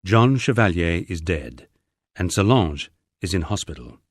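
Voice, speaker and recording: A man's voice: low-pitched, sing-song, unhurried, no echo. A middle-aged man with an English accent reading a first-person memoir as a scripted narration. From England.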